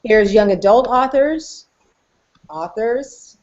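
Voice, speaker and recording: A woman's voice: on the high side, expressive, takes it slowly, a little mellow. A middle-aged woman co-hosting a casual chat for educators, upbeat and encouraging as she walks through tools. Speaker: podcast co-host and educator. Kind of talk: casual chat with tool demonstration. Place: small indoor office, video call. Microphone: computer microphone on video call. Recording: dull, lo-fi video call audio.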